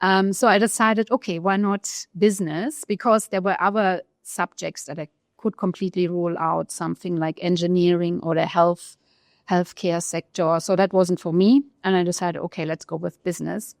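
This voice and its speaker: A woman with a German accent, a research economist telling her career story in an interview, pausing often with fillers. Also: fairly low pitch, flat delivery, vocal fry, no room sound, clean studio sound. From East Germany. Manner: thoughtful, pauses often with fillers.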